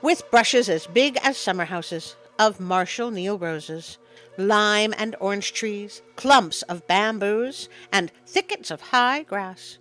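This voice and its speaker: Bright-voiced woman telling a story over music. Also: mid-range voice, animated, slow. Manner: dramatic read-aloud, with interjected asides. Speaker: storyteller, show host. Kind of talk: expressive scripted story narration.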